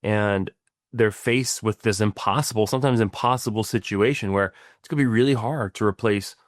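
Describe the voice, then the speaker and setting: A man's voice: on the low side, normal speed, no room sound, clean studio sound. A middle-aged man co-hosting a podcast as a financial planner, explaining ideas in casual chat with many restarts and "right?" tags. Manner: stumbling restarts, frequent tag questions.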